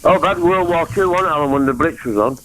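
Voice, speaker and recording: A man's voice: a little high, fast talker, fairly bright tone, slight background noise. A man with a Mancunian accent rambling from topic to topic on a phone-in call. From England. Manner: rambling, jumps between topics. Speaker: caller.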